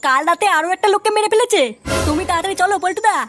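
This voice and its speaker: A performer voicing a cheeky, foul-mouthed, scheming young village lad in a comic cartoon, brash and excitable. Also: very high-pitched, racing, over music. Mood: urgent.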